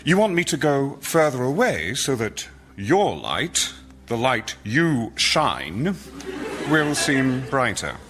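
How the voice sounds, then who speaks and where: A politely baffled Sun, very animated. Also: male voice, medium pitch, takes it slowly, noisy background. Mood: polite, incredulous. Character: the Sun as a patient, politely incredulous older-brother figure. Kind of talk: radio sketch comedy.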